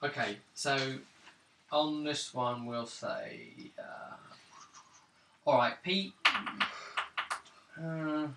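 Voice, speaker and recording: Man running a tabletop game. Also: a little high. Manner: halting, thinking aloud with many ums. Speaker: game master. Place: room at a gaming table. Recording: roomy, distant mic with loud noise.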